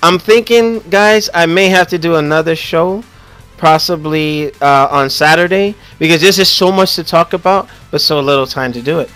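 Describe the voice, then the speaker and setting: A man's voice: fairly high pitch, normal speed, a bit bright, smooth, soft background music. A young man with a Haitian accent hosting a casual gaming podcast, joking and rambling with lots of restarts. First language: Haitian Creole or French. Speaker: podcast host.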